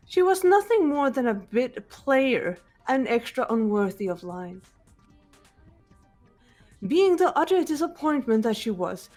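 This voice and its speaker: A high-voiced, haughty teen villainess acted by a woman delivers game dialogue with pompous, scornful drama. She is a cold fashionista, and music plays behind her. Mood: cold contempt. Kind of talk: amateur voice acting of a video game.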